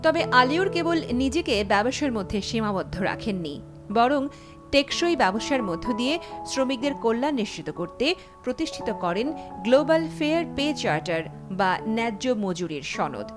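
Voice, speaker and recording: A woman's voice: a little high, sing-song, moderate pace. A woman with a Bangladeshi accent reading a scripted documentary narration over music. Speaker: programme narrator. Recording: clear music bed behind voice. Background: background music.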